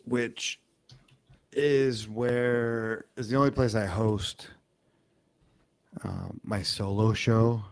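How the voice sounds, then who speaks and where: A low-voiced man co-hosting a podcast. He talks very slowly and explains at length, stopping and restarting often. The sound is dry, with no room echo. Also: fairly even intonation. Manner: rambling, halting explanations. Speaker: podcast co-host. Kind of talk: casual chat.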